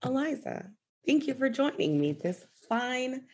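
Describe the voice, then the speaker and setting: A woman's voice: sits high, big pitch swings, a little mellow, slightly rough. A woman hosting a podcast, chatty and playful, greeting and questioning her guest. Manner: chatty, playful, quick reactions. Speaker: podcast host. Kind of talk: podcast interview.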